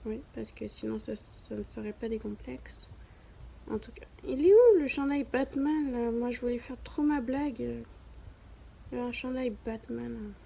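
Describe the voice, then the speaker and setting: A woman's voice: a little high, normal speed, creaky, dark tone, slight background noise. A young woman with a southern French accent, chatting casually to camera at home in a rambling, self-mocking way. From southern France. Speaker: beginner vlogger.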